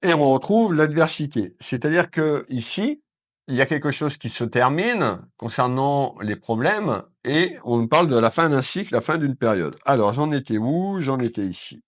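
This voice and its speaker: A man from France with a head cold giving a calm weekend card reading to viewers from his consulting office. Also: mid-range voice, moderate pace. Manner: calm, addresses viewers as "mes belles âmes", with frequent fillers. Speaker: psychic card reader. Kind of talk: weekend tarot reading to camera.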